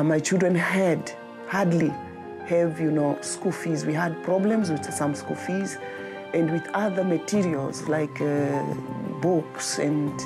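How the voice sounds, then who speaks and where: Female interviewee, Congolese accent, low creaky voice, halting as she searches for words. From Democratic Republic of Congo. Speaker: interviewee, refugee.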